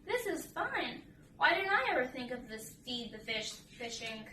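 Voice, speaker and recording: A woman's voice: high voice, sing-song, normal speed, pushed and bright, exaggerated voice. A woman voicing a patient, encouraging father pig who instructs his son in a picture book. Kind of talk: picture book read-aloud. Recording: roomy, distant mic with faint noise.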